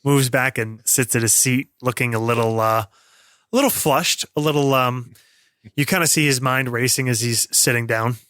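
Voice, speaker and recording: A man's voice: medium pitch, steady tone, moderate pace, a bit bright, no room sound, studio quality. A man playing a tabletop game, narrating his character's actions in a casual, improvised way.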